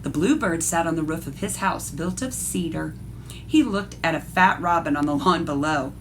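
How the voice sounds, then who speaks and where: A woman's voice: deep voice, expressive, moderate pace, a little hiss or hum. A woman art teacher reading a picture book aloud expressively and explaining things to young listeners. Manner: instructive, addresses young listeners directly.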